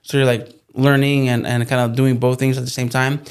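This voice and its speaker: A man's voice: mid-range voice, flat delivery, fairly quick, clean tone. A man whose first language was Spanish, a podcast guest chatting casually and rambling with lots of fillers and restarts. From California. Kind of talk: casual interview chat.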